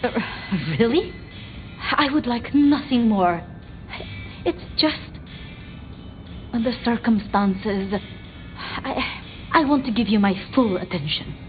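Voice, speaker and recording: A flustered, devoted vampire scholar in a video game. Her voice is fairly high and very lively in pitch, stumbling and apologizing as it goes. The sound is slightly dulled. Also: phone-quality sound, soft background music. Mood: hesitant. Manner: stammering, hesitant, halting and apologetic. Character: timid, flustered, bookish female vampire researcher, devoted to her retainers. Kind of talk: video game dramatic dialogue.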